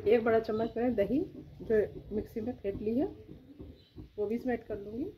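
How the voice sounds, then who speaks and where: A woman with a fairly high voice talks through a home recipe in a casual, step-by-step way, addressing her viewers as friends. She is recorded by the stove, with clear frying and sizzling noise behind her. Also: fairly even intonation, moderate pace. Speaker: home cook presenting a recipe. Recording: sizzling pan noise over the voice. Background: loud sizzling and frying food.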